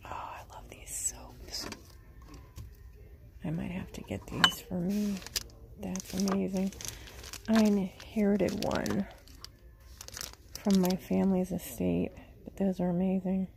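A woman's voice: mid-range voice, little pitch movement, takes it slowly, gravelly, long pauses, background noise. A woman in her forties chatting as she shops for vintage items, half-murmuring prices to herself, filmed on a phone held at arm's length. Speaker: vintage reseller vlogger. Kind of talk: casual shop-with-me narration. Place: antique mall, then parked car. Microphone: phone camera at arm's length.

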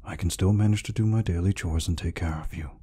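Man voicing a deep, husky, gentle orc healer. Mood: gentle, caring. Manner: soft, unhurried, reassuring. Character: gentle, peaceful male orc healer, soft-hearted caretaker. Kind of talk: ASMR roleplay.

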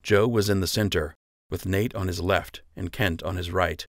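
Guy reading a novel as audiobook narrator, slightly deep, really dry sound. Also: steady tone, moderate pace, no room sound. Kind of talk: scripted fiction narration.

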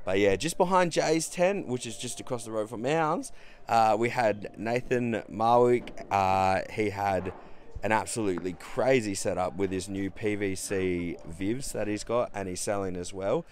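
A man's voice: lowish voice, measured pace, a little hiss or hum. A man in his thirties with an Australian accent, chatting casually as a vlogger in a rambling, self-deprecating way. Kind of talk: casual vlog chat.

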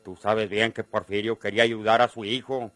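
An actor playing an insistent, wheedling rustic schemer in a Mexican radio drama, with a northern Mexican accent and a folksy, coaxing manner. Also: male voice, fairly low pitch, little pitch movement, normal speed, fairly bright tone, dry recording, lightly acted.

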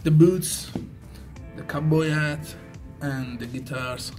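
Man, thirties, fairly high voice, playful casual chat, music faint behind. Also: steady tone, slow pace, a little mellow. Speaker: Fulbright student.

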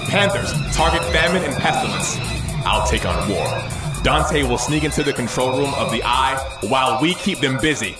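A man's voice: mid-range voice, animated, normal speed, close to an everyday voice. A man playing an earnest, dramatic space fleet commander in a sci-fi radio comedy. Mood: tense, serious. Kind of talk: radio comedy battle narration and dialogue. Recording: echoey, loud music behind.

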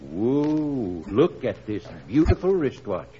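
A man's voice: mid-range voice, sing-song, slow pace. An actor playing a genial, modest middle-aged family man in 1940s radio drama style. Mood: pleased, bashful. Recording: muffled, noisy 1940s radio recording.

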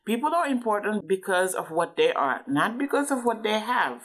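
A woman's voice: medium pitch, big pitch swings, moderate pace, fairly bright tone. A woman reading aloud to a child as a parent, explaining things and checking understanding with questions.

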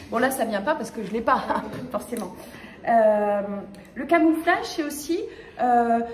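A woman in her fifties from the Paris region, giving a lively conference talk with many fillers and restarts, gesturing as she speaks. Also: on the high side, slight background noise. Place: small lecture room with projector screen. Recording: echoey room.

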